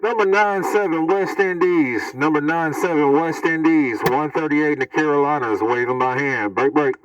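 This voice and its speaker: A man's voice: fairly high pitch, moderate pace, far from the mic. Man calling out over a very noisy recording. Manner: repetitive, chanting call-outs. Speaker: CB radio operator. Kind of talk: calling out on CB radio in repeated call phrases. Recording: muffled, very noisy recording with radio static.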